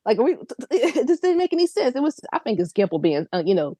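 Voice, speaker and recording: A woman from Philadelphia chatting as a guest host on a fan podcast, telling stories in a lively, laughing way. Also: on the high side, a little fast, no room sound. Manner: lively, laughing, animated storytelling. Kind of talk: casual podcast chat.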